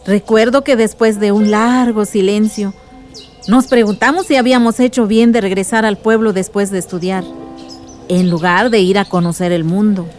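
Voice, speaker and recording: An actress playing a warm, reflective aunt who recalls her village past in a nostalgic radio drama. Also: mid-range voice, fairly even intonation, moderate pace, faint music behind, lightly acted. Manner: nostalgic, storytelling.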